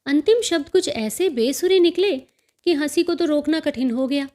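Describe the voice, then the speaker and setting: A woman's voice: high-pitched, expressive, moderate pace, mellow. A woman narrating a scripted story as an audiobook storyteller.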